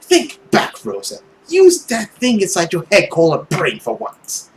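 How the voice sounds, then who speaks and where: A man voicing a smug, scornful nobleman villain in a visual novel, drawling and sarcastic with theatrical pauses. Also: high-pitched, huge pitch swings, slight background noise, exaggerated voice. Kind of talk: visual novel character acting.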